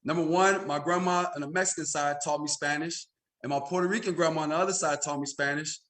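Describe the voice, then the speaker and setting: A man with an American accent speaks in a somewhat high register. He tells personal stories in a casual, conversational interview style, peppering them with little tags that check the listener is following. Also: steady tone, fast, fairly bright tone. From Chicago, United States. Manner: conversational, frequent "you know" and "right?" tags. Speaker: podcast guest, insurance agency senior executive.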